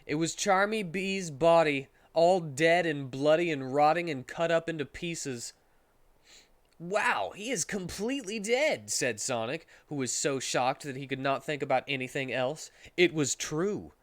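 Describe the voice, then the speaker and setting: A man's voice: fairly high pitch, moderate pace, hardly any pauses, dry recording. Man narrating a story with mock drama. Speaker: streamer reading a story aloud.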